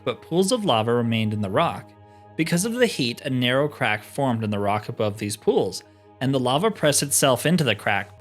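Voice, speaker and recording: A man's voice: medium pitch, fairly bright tone. A man voicing a self-assured, didactic and dismissive scholar in a fantasy game.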